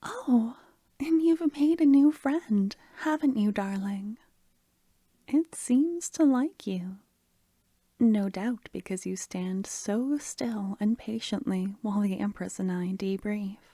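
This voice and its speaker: Woman acting a gentle, breathy, devoted young necromancer. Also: medium pitch, slow pace, dry recording. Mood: delighted. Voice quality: soft, breathy, half-whispered. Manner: tender, intimate, endearing. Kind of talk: softly spoken ASMR fantasy roleplay.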